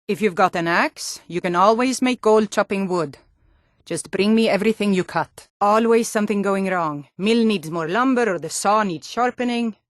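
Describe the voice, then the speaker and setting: A woman's voice: fairly low pitch, dry recording, clean studio sound, lightly acted. An actress voicing a hardy, proud Nordic townswoman in a video game, with a Nordic accent and a blunt, theatrical delivery. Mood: brusque, busy. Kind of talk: video game character voice acting.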